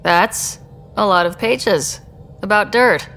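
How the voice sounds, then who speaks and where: A woman's voice: medium pitch, slow pace, fairly bright tone, a little hiss or hum. A woman playing a curious, friendly young space explorer who leads a crew in a video game. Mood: dry. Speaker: expedition leader.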